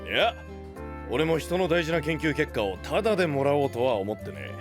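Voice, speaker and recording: Blunt, big-hearted young protector, very animated. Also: male voice, on the high side, moderate pace, music in the background. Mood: friendly, businesslike. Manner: blunt, tough street talk, reassuring. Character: rough, big-hearted young male guardian, gang-boss protector. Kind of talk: anime voice drama acting.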